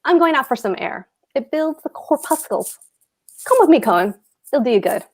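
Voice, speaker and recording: A woman as a pompous, high-pitched husband. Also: lively intonation, moderate pace, slightly rough, heavily acted. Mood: mocking, grandiose. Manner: pompous, emphatic, precise. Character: pompous, pedantic, blustering husband, a petty official. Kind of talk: stage comedy table read.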